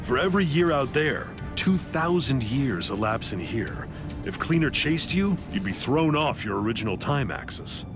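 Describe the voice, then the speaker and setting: An actor playing a grave, knowing warrior mentor in an anime game, explaining seriously and with command. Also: male voice, mid-range voice, very animated, normal speed, music in the background, natural voice. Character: grave, knowing Soul Reaper mentor to a young fighter. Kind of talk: English anime game voice acting.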